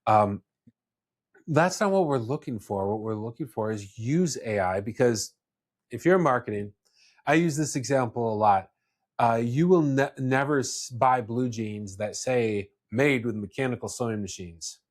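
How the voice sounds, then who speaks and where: A man speaking casually as a podcast host, explaining his views with restarts and asides. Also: on the low side.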